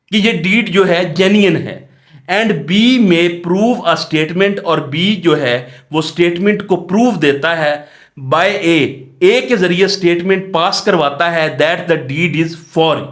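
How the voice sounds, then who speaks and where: A man with a Pakistani accent giving an explanatory law lecture in a teacherly question-and-answer manner. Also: fairly high pitch. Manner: asks rhetorical questions and answers them; reads English statute phrases, then explains them in Hindi-Urdu. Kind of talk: explanatory exam-prep lecture.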